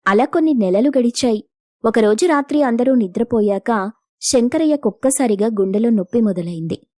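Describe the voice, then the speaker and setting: A woman's voice: fairly high pitch, lively intonation, normal speed, a little mellow, dry recording. Woman narrating, close dry sound. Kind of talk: scripted moral story narration.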